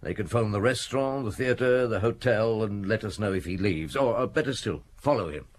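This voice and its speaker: Casting: male actor for a laconic, commanding police chief, lowish rough-edged voice, radio play. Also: moderate pace, close to an everyday voice. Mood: brisk, commanding. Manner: terse, questioning, authoritative. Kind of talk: radio drama acting.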